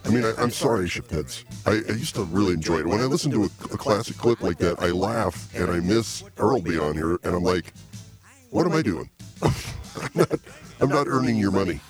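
A man's voice: sits low, lively intonation, fairly quick, music in the background. A man hosting a comedy podcast, laughing and rambling in a self-deprecating way. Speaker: podcast host. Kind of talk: casual comedy podcast chat.

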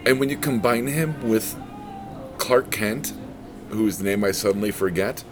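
Deep-voiced male podcast co-host, rambling and crude, recorded in a restaurant with music behind. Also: a little slow. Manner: rambling, crude jokes, many fillers. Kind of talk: casual chat.

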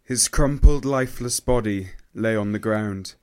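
A man's voice: on the low side, a little slow, clear, resonant tone, lightly acted. A man playing a grieving young First World War soldier in a radio play, telling his story solemnly and sorrowfully. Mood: grieving, shaken. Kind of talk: radio play dramatic monologue.

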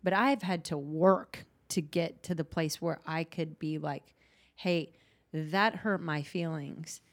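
A woman podcast host who works as a counselor, talking candidly in a halting, restart-filled way in casual chat. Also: low voice.

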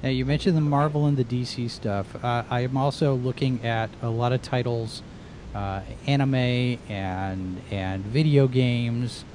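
A man's voice: medium pitch, a little slow, darkish tone, slight background noise. A man interviewing guests, asking long, searching questions full of restarts.

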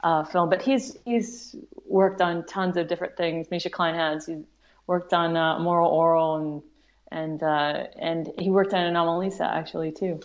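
Lowish-voiced enthusiastic woman. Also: fairly even intonation, moderate pace, lo-fi sound. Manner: enthusiastic, giggly. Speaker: podcast panellist, film screening organiser. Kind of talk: casual chat.

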